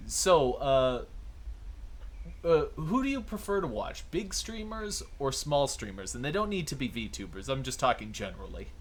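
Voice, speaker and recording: A man's voice: mid-range voice, big pitch swings, moderate pace. Easygoing man hosting an interview. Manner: easygoing, laughs often, short backchannels. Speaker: interview host. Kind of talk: casual interview chat.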